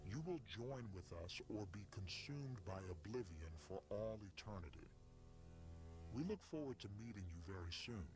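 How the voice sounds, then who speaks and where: A man playing an ominous cult messenger in an analog horror story, speaking formally and gravely with solemn menace. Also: lowish voice, lively intonation, normal speed, music in the background, close to an everyday voice. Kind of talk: scripted horror narration, formal recruitment message.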